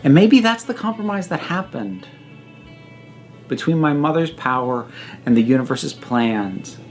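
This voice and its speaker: A mid-pitched speaker reads a personal reflection in the style of a speech. The phrasing is deliberate and emphatic, with weighted pauses. Soft guitar music sits behind the voice. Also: lively intonation. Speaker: video essayist reading own personal reflection.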